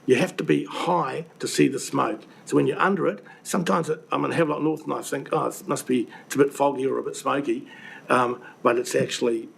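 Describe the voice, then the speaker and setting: An older man with a New Zealand accent, croaky from the flu, presenting earnestly and indignantly to a council committee with many ums and restarts. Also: mid-range voice, fairly even intonation, a little fast, fairly bright tone. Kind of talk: public submission to a committee. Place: council meeting room. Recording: webcast with faint room noise.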